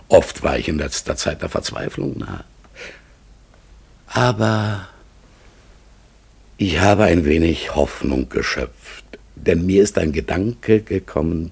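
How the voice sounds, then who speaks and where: An actor playing a gentle, melancholy talking raven in a radio play, halting and solemn. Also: male voice, low-pitched, expressive, exaggerated voice. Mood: longing, pensive. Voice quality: husky, gravelly, breathy. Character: melancholy talking raven exiled among humans, searching, gentle. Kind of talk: German radio play acting.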